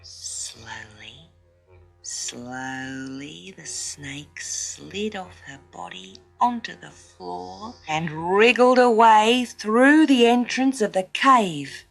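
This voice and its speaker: Slow, expressive woman storyteller. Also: medium pitch, creaky, soft background music. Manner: expressive, suspenseful, drops to hushed tones. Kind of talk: dramatic children's story narration.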